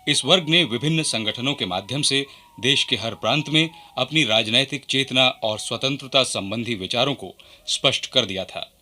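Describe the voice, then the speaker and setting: A man reading formal, measured scripted narration for a historical radio programme. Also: medium pitch, sharp tone.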